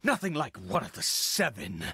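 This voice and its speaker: A man's voice: medium pitch, huge pitch swings, pushed and bright. A theatrical, wildly swooping fanatical father. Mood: awed, fervent. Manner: theatrical, halting, with pauses and emphatic swells. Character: fanatical, unhinged father devoted to a mysterious god. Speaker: voice actor. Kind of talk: dramatic game dialogue acting.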